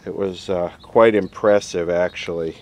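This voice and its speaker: A man's voice: sits low, steady tone, measured pace, darkish tone, slight background noise. A man giving casual, unscripted hands-on commentary, rambling a little as he thinks aloud. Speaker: vlogger demonstrating vintage radio equipment.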